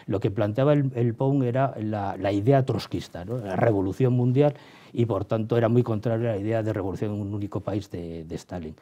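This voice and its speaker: A man from explaining history as an interviewed professor, halting as he searches for words and checks with tag questions. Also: on the low side, mellow.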